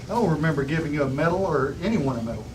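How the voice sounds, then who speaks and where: A man's voice: fairly high pitch, animated, racing, close to an everyday voice. Man reading effusive professor, distant and noisy. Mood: vague. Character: distracted, exuberant male scientist professor, oddly vague. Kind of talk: script table read of a sci-fi serial. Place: busy restaurant table, far camera. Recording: distant mic, noisy restaurant room.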